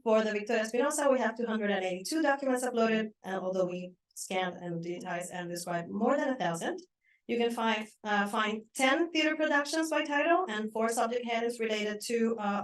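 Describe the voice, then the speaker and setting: A woman presenting at an academic conference, brisk and rushing to finish on time. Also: a little high, poor audio. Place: conference room.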